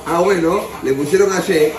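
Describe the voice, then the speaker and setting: A man's voice: a little high, normal speed. A young man with a Peruvian accent chatting casually and jokingly as a reaction show co-host. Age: young adult. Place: indoor radio-branded studio. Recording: echoey room, distant mic.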